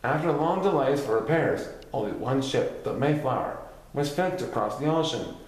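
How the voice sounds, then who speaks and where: A man's voice: medium pitch, animated, normal speed. Man reading a story in a very echoey room. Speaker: reader. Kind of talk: scripted story narration, reading aloud.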